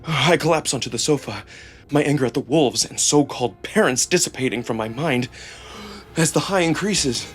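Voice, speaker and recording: A man reading a visual novel in the first person as a theatrical, expressive narrator. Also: mid-range voice, normal speed, husky. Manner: theatrical, expressive, acts out emotion. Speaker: narrator and performer. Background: music.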